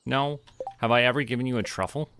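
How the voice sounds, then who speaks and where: A man from Canada giving casual, relaxed commentary as he streams a game, often talking to himself. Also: mid-range voice, fast, a little hiss or hum. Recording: music behind the voice. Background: music.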